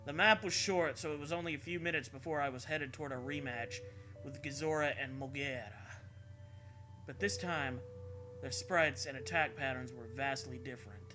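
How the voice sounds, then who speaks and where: A man's voice: mid-range voice, moderate pace, over music. A man reading a story aloud as a host, in a comedic dramatic style with casual, irreverent, sweary asides. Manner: irreverent, sweary, often breaks into laughter.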